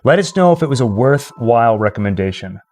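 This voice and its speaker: A man with a middle-pitched voice co-hosts a livestream. He talks casually and conversationally, commenting on the game he is playing and addressing viewers. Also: lively intonation, fast, a little mellow. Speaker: livestream co-host.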